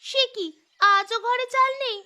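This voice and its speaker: Woman acting a high-pitched, bossy village girl. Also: fairly even intonation, a little slow, pushed and bright, heavily acted. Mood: protesting. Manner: bossy, protesting. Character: bold, cheeky, bossy village girl, elder sister. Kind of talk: cartoon story dialogue. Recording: music behind the voice.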